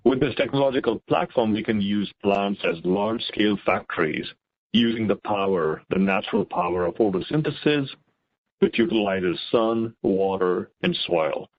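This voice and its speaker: Low-voiced man, slightly dull dry audio. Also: moderate pace, no echo. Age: middle-aged. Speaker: chief science officer presenting. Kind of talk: scripted investor presentation. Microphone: conference call line.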